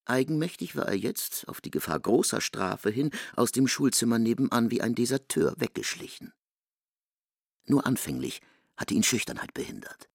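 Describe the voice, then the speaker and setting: A man narrating a literary radio drama dramatically, with a gravelly voice. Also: medium pitch, sing-song, normal speed, no echo, studio quality.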